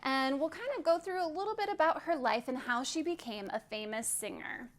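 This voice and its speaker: A woman leading a virtual library storytime, telling a story to children gently and clearly and inviting them to count along. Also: high-pitched, animated, brisk, a little hiss or hum.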